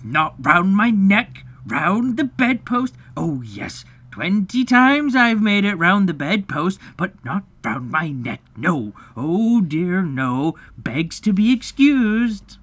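A woman's voice: very deep voice, lively intonation, a little slow, darkish tone, no room sound, voice pushed down. A woman voicing a blustering, pompous middle-aged husband and father, theatrical, stern and prone to sarcasm and self-pity. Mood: sarcastic.

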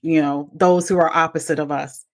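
A woman hosting a podcast interview, asking unhurried questions and giving brief encouraging replies. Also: sits low, steady tone. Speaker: podcast host. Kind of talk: casual interview.

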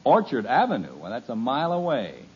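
A wry, exasperated father in an old radio sitcom, his voice swinging widely up and down with a slightly husky edge. The recording sounds dull and dated. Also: medium pitch, wildly swooping pitch, fairly quick, heavily acted. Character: exasperated suburban father, dry humor. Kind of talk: 1950s radio sitcom acting.

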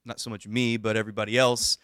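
Smooth-voiced male podcast host. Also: lowish voice, no room sound, studio quality. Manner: relaxed, halting with fillers, joking. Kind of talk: casual podcast chat.

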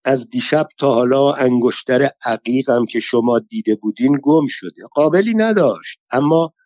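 A man's voice: mid-range voice, darkish tone, no room sound, close to an everyday voice. A man putting on a rueful old woman. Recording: slightly dull lo-fi dry recording.

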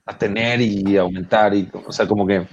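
A man's voice: lowish voice, steady tone, normal speed, lo-fi sound, slight background noise. Thoughtful, hesitant Mexican man. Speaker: drummer guest. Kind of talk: casual chat.

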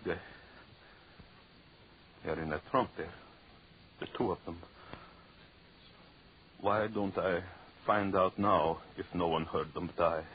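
A man's voice: low voice, steady tone, slow pace, long pauses. An actor playing a tormented, murderous showman in a 1930s radio horror play, melodramatic and muttering obsessively in repeated phrases. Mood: brooding, paranoid. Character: tormented murderous showman haunted by talking shrunken corpses. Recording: muffled, noisy 1930s radio recording.

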